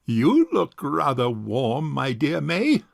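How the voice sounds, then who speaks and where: An actor playing a fussy, miserly rich old bachelor uncle in a comic farce, speaking in a pompous, hesitant, formal way. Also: male voice, mid-range voice, huge pitch swings, takes it slowly, gravelly, dry recording, clean studio sound, exaggerated voice. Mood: politely formal. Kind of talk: comic stage farce acting.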